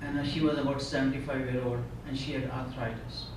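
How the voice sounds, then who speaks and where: A man's voice: medium pitch, little pitch movement, brisk, background noise. Indian-born man, echoey conference hall. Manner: earnest storyteller, frequent "you know". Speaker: conference speaker. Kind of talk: personal testimony talk. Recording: echoey hall, speaker far from mic.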